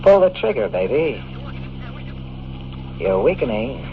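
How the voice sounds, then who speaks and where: An actor with an American accent playing a tough, wisecracking private investigator in 1950s radio drama style, sardonic and full of slang. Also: male voice, medium pitch, very animated, slow pace. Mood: taunting, coaxing. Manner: sardonic, slangy, hard-boiled. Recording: tinny, very noisy old radio recording.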